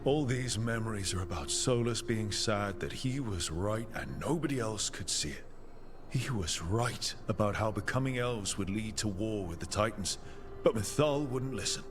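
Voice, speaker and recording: Man playing a grave low fantasy companion. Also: animated, moderate pace, noisy background, lightly acted.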